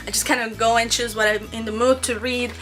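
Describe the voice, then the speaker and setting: Bright, high-voiced young woman vlogger. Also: level tone, fairly quick, faint music behind, poor audio. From Mexico. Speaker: book vlogger. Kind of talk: casual to-camera question-and-answer chat.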